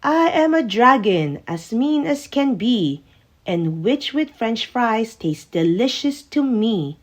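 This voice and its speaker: A woman's voice: on the high side, big pitch swings, slow pace, pitched above the performer's own voice, full character voice. A woman voicing a mean, greedy dragon with dramatic, emphatic delivery in a storybook. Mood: menacing, boastful. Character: mean, greedy dragon, boastful then spluttering.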